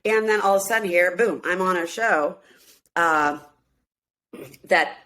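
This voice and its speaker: A woman's voice: lowish voice, a little slow, fairly bright tone. A woman in her fifties, originally from Minneapolis, chatting as a guest in an interview, laughing often and acting out quotes. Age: 50s. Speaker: guest, singer and reality show cast member. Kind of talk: casual interview chat.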